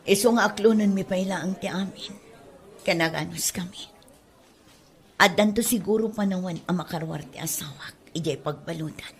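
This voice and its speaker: A woman's voice: fairly low pitch, moderate pace, close to an everyday voice. A woman playing a humble, weary, devoted mother in a radio drama, speaking earnestly and plaintively. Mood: sorrowful, weary. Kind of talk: radio drama acting in Ilocano.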